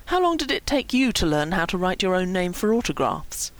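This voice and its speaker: Woman acting a quiet, sarcastic young woman. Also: fairly low pitch, sing-song, brisk, no room sound. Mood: scornful. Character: scornful, teasing young woman.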